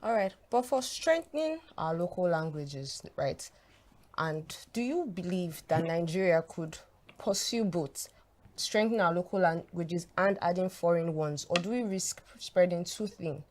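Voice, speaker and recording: A woman's voice: lowish voice, expressive, measured pace, darkish tone. A woman interviewing a guest and asking follow-up questions.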